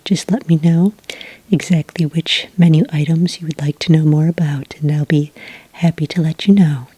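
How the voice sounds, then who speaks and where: A woman plays a friendly cafe witch in an ASMR roleplay. Her voice is low, mellow and breathy, mostly a soft whisper. She explains things gently and patiently, close and dry. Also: no echo. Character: friendly helpful witch serving at a magical cafe. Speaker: cafe server taking orders.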